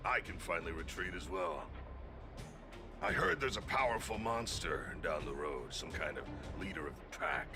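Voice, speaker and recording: Humble game npc townsman, lowish voice, thankful and careful, music behind. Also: expressive, moderate pace. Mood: relieved, cautious. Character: grateful, cautious townsman pulling back from danger. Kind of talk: video game voice acting.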